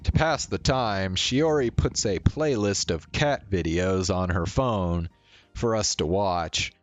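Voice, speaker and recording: Visual novel read-aloud streamer: deep voice, unhurried and measured storybook narration, faint music underneath. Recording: roomy sound, faint music behind. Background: faint game music.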